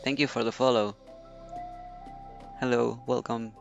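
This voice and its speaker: Slow-talking, casual game streamer. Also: mid-range voice, little pitch movement, gravelly. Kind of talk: casual live game commentary. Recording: muffled stream mic, game music behind.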